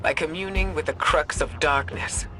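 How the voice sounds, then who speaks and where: A man playing a solemn chronicler of a sci-fi war, narrating a video game in a grave, measured, portentous way. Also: a little high, lightly acted, poor audio. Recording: voice under loud game music.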